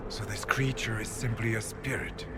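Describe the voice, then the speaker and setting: A man's voice: on the low side, fairly even intonation, moderate pace, very noisy, natural voice. A man playing a proud, earnest military warrior in a video game, speaking formally and with command. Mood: firm, restrained. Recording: music behind the voice.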